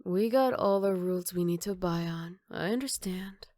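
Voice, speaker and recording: A woman acts out a teasing, self-assured female villain for an ASMR roleplay. The voice is lowish, soft and breathy, sitting very close and dry. She takes her time, with sly, flirty mock politeness. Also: normal speed, dry recording, lightly acted. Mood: coy, mock-polite.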